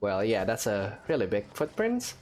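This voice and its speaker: A man's voice: lowish voice, wildly swooping pitch, moderate pace. A man giving casual, rambling, jokey let's play commentary as a gaming host. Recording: music under the voice. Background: game music.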